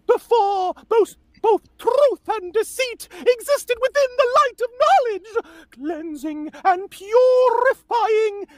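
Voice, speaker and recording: A woman voicing a solemn, grandiose elder fairy guardian in a theatrical, drawn-out table read of a fantasy game script. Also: extremely high pitch, animated, a little mellow, dry recording, heavily acted. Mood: solemn, reverent. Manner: theatrical, drawn-out, breaks into flustered asides.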